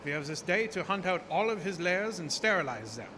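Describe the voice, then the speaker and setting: An actor playing an earnest, authoritative doctor leading a vampire hunt, deliberate and urgent, in a radio horror drama. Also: male voice, high voice, big pitch swings, long reverb tail. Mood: grave, resolute. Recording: noisy live stage recording.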